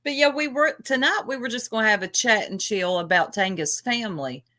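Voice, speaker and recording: A woman's voice: a little high, fairly quick, bright, forceful tone, dry recording, studio quality. A woman hosting a casual panel chat, mocking and taunting someone with frequent laughter. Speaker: host.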